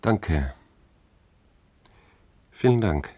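An actor in a German radio drama playing an anxious, brooding man, measured and reflective in his telling. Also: deep voice, animated, dark tone, husky, no room sound, full character voice. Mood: probing, concerned.

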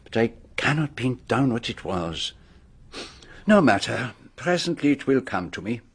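A shrewd older Belgian detective in a radio drama, with a French-speaking Belgian accent. His formal, measured speech rises and falls in a lively way, and the voice is slightly husky. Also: mid-range voice, exaggerated voice. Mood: pensive.

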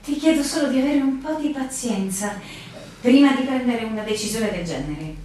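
An actress playing an anxious, devoted young fiancée in a radio drama, earnest and pleading in a theatrical way. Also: female voice, on the high side, lively intonation, moderate pace, close to an everyday voice. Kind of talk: Italian radio drama acting. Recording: very echoey, distant, faintly noisy recording.

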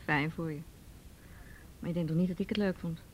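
Actress as low-voiced, guarded, weary woman. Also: fairly even intonation, a little fast, a little mellow, slight background noise, lightly acted. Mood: irritated, guarded. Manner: curt, reserved. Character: guarded, weary woman providing for younger dependant. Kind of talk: naturalistic Dutch radio drama acting.

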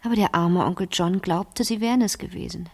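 An actress playing a calculating fifteen-year-old girl in a German radio crime drama, speaking deliberately and sometimes hushed. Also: fairly low pitch, gravelly. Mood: smug, calculating. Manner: slow, deliberate, hushed at times.

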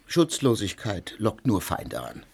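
A man's voice: on the low side, huge pitch swings, unhurried, a little mellow, slightly rough, full character voice. An actor playing a skeptical man who answers curtly and dismissively. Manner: curt, dismissive.

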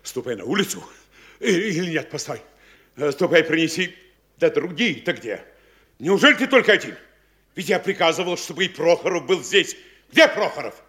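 A man's voice: fairly high pitch, big pitch swings, normal speed, a bit bright, husky, full character voice. An actor playing a blustering, anxious town mayor in a stage comedy, bossy and flustered as he gives out orders. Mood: flustered, commanding. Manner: bossy, flustered, changes orders mid-sentence. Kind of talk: theatrical Russian stage comedy acting.

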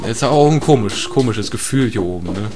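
A man's voice: lowish voice, lively intonation, fairly quick, lightly acted. A man playing a naive, chatty tourist in an improvised absurd audio comedy, hesitant and repetitive, often tacking on tag questions. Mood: placid, chatty. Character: chatty, naive male tourist, placidly captured.

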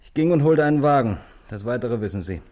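An actor playing a calm, weary man confessing to murder in a 1960s German radio drama, measured and wryly resigned. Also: medium pitch, lightly acted.

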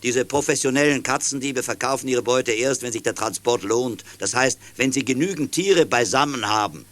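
A man playing a helpful, observant middle-aged neighbour in a children's audio drama, speaking in a measured, detailed way. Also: medium pitch, a bit bright, lightly acted. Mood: reassuring. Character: helpful, observant male neighbour, long-time railway clerk.

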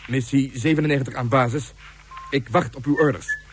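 A dutiful space-mission commander, acted by a man in a sci-fi radio play. He reports in formal, clipped military phrases at a middling pitch. There is faint noise with small clicks behind him. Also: steady tone, a little fast, lightly acted. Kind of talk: Dutch science fiction radio drama acting.

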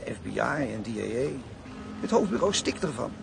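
Creaky-voiced, ruthless gang boss. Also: male voice, mid-range voice, sing-song, moderate pace. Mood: wary. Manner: blunt, scheming. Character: cautious, ruthless male drug gang boss. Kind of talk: crime radio drama acting. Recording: faint background noise.

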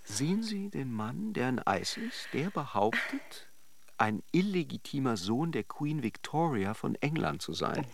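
An actor playing a flirtatious, charming Russian philanderer who teases and muses, in a radio drama. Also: male voice, medium pitch, sing-song, unhurried, gravelly, slight background noise. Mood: teasing, flirtatious. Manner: teasing and joking, musing when alone. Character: playful, flirtatious Russian philanderer, charming but privately reflective. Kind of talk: radio drama acting in intimate dialogue and monologue.

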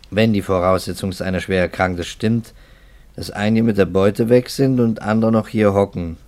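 An actor playing a skeptical, weary police investigator in a 1950s German radio drama, deliberate, guarded and matter-of-fact. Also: male voice, lowish voice, a little mellow.

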